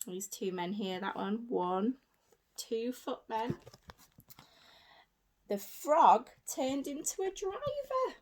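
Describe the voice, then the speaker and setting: A woman reading a story aloud for young children as a teacher, in an animated way with simple repeated phrases and asides to her listeners. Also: fairly high pitch, low-quality recording. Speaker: early years teacher.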